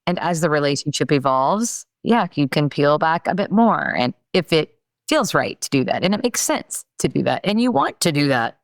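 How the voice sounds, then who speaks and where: A woman hosting a podcast, asking thoughtful, measured interview questions. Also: low voice, sing-song, dry recording, studio quality. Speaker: podcast host. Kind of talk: conversational interview.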